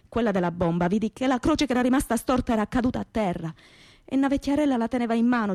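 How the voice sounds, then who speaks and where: A woman's voice: medium pitch, mellow, dry recording, studio quality, natural voice. An actress playing a devout young working-class woman with a Calabrian Italian accent, telling her story earnestly and emotively in a radio theatre monologue. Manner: emotive storytelling in plain, dialect-tinged popular speech.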